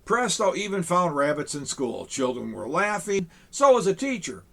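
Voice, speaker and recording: A man reading a children's picture book aloud, with chuckling, animated asides to young listeners. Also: high voice, moderate pace, vocal fry. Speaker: storyteller in a Santa persona.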